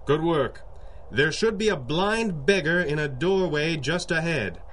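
A man's voice: a little high, lively intonation, measured pace, a bit bright, slight background noise. An actor playing an urbane, authoritative male hero with a dry, polished manner in a radio drama. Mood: commanding. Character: urbane, commanding male masked hero boss. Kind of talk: 1930s radio drama acting.

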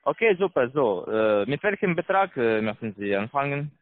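Tinny phone line, foreign-accented male salesman, halting non-native speech, repetitive pitch. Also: very animated, no room sound. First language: not German. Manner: halting, repetitive, broken grammar. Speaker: call center investment salesman.

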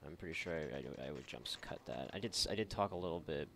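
A man's voice: a bass voice, expressive, fast talker, gravelly, noisy background. A man giving casual let's play commentary, joking, rambling and swearing freely. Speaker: gaming video host.

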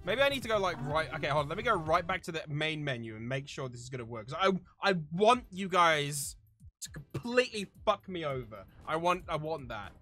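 An excitable game streamer giving casual live commentary, prone to shouting and cackling. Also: medium pitch, brisk, soft background music.

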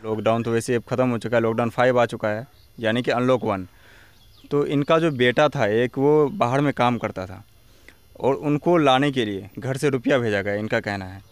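A man's voice: medium pitch, moderate pace, clear, resonant tone, dry recording. A man, reporting for a documentary from a village into a handheld microphone. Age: 20s. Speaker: field reporter. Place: outdoors and in open huts in a village. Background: music.

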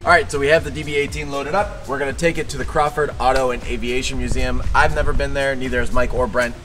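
A man's voice: medium pitch, fast. Young guy hosting a detailing vlog outdoors, pumped up, music in the background. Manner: upbeat, excited. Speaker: detailing channel host. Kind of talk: casual vlog talk to camera. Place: outdoors by a loading dock. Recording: music playing underneath.

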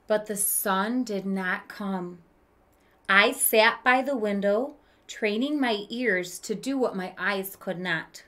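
A woman's voice: mid-range voice, slow, sharp tone. A woman in her thirties reading a children's storybook aloud expressively, adding asides and questions for young listeners. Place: small room with bookshelf, indoors.

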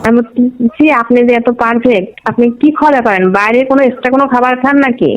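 A woman's voice: on the high side, racing, slight background noise. A woman with a Bangladeshi accent chats casually and intimately on a phone call.

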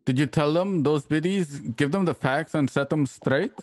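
A man playing a gentle, earnest and concerned frontier husband in a radio drama. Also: on the high side, moderate pace, no echo, close to an everyday voice. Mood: alarmed. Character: tender, concerned frontier husband who mixes in Spanish endearments.

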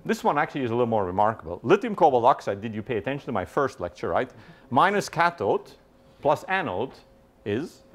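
Animated middle-aged man lecturing. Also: mid-range voice, a little fast. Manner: animated, peppered with "right?", quizzes the audience. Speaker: guest lecturer. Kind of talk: university lecture. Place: large lecture hall with audience.

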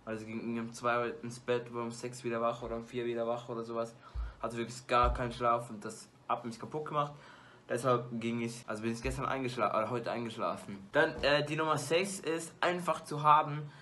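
A teenage boy talks straight to his desk webcam in a small bedroom glowing with colored lights. His voice is bright and mid-pitched, and he rambles chattily, stumbling through fillers and restarts. A faint hiss of room noise sits underneath. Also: steady tone, brisk. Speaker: YouTuber. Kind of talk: casual movie-ranking vlog.

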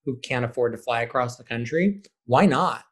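A man's voice: medium pitch, expressive, normal speed, fairly bright tone, breathy edge. A man speaking as a podcast guest and theater director, giving casual, conversational answers.

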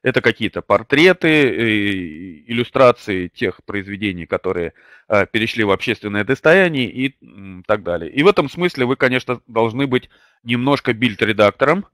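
An older man hosting a webinar lecture. He has a slight cold and fills his talk with many drawn-out hesitations. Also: lowish voice, expressive, fairly bright tone, no echo, clean studio sound. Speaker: webinar host and publishing trainer. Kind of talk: webinar lecture with personal anecdote. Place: small indoor room. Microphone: webcam or desk mic at a computer.

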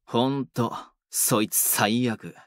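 A man's voice: mid-range voice, steady tone, slow pace, no echo, studio quality, natural voice. A man voicing a young delinquent who is defensive and easily flustered, in an audio drama. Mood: irritated. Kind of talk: Japanese boys' audio drama.

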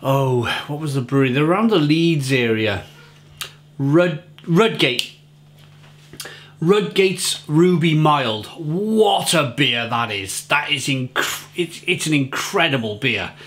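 A man's voice: a little high, expressive, slow pace, fairly bright tone. Chatty man reviewing beer at home. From England. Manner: chatty, repeats words while hunting for them. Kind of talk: casual beer review to camera. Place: home kitchen, indoors.

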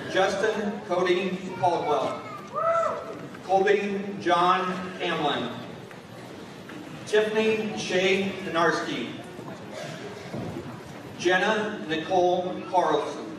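Male official, slow and deliberate name reading, high voice, far-off echoey gym. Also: noisy background. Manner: measured, deliberate. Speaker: school official reading graduate names. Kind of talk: formal announcement and name reading. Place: large school gymnasium. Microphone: podium microphone, heard from the back of the room.

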